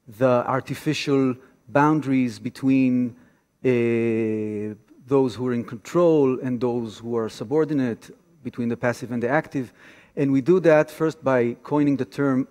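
Halting Israeli man in a reverberant hall. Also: mid-range voice, steady tone, darkish tone. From Tel Aviv, Israel. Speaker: activist guest lecturer. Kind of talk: informal public lecture with personal storytelling. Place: on stage in a large theater auditorium.

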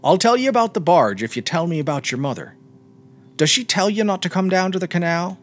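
A man's voice: sits high, expressive, brisk, faint music behind, voice pushed up. A man voicing an inquisitive young seafarer in a story reading, questioning and insistent. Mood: probing, surprised.

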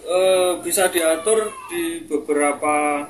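A man's voice: on the high side, takes it slowly. A young man giving an informal, casual product demonstration to viewers. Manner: informal, addresses viewer as boss. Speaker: seller presenting a product review. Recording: noisy home video with clicks.

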